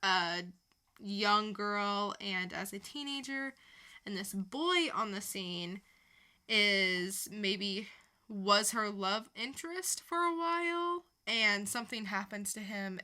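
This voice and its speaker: Bright-voiced, unhurried woman on a podcast. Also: medium pitch. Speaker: podcast co-host. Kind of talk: casual banter and quiz chat.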